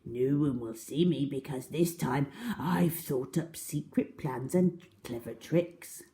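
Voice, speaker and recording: A woman's voice: rumbling low, slow pace, mellow, full character voice. A woman voicing an enormous, greedy, boastful crocodile from a storybook, drawing out words with scheming relish. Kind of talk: storybook character reading.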